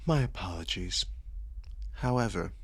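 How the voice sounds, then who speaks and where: A man's voice: low-pitched, animated, unhurried, gravelly, breathy edge, dry recording, heavily acted. A man playing a seductive, sadistic vampire lord in an intimate ASMR roleplay, suave and formally polite with a teasing menace. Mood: smooth, predatory. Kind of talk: ASMR roleplay, slow intimate dramatic delivery.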